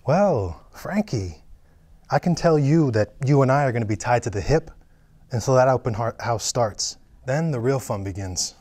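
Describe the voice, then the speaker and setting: A man's voice: medium pitch, lively intonation, moderate pace, darkish tone, lightly acted. A man voicing a menacing male killer who taunts his victim over the phone, sneering and cruel, in a script table reading. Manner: taunting, sneering, drops to a whisper. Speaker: table read actor.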